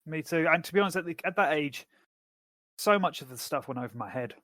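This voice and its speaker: A middle-aged man co-hosting a podcast, talking quickly and reflectively in casual chat. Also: a little high, animated, a bit bright, no echo, clean studio sound. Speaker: podcast co-host.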